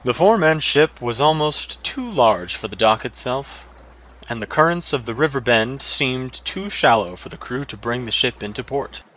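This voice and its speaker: A man's voice: mid-range voice, lively intonation, moderate pace, a bit bright, poor audio. A man reading a scripted fantasy adventure story as a dramatic narrator.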